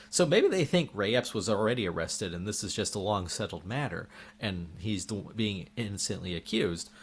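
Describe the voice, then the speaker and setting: A man's voice: on the low side, fairly bright tone, slightly rough. A man delivering an indignant opinion monologue as a political commentator, firing off rhetorical questions while losing his voice.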